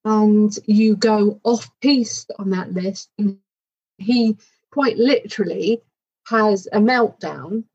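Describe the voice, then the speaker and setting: Slow, frank woman from the UK. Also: medium pitch, a little mellow, breathy edge, lo-fi sound. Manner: frank, plainspoken, tags questions to her husband. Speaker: podcast guest. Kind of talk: candid conversational interview.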